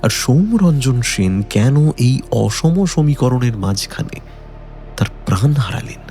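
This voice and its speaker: A man giving a dramatic, suspenseful scripted narration of a detective story in a measured way. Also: medium pitch, very animated, warm tone, soft background music.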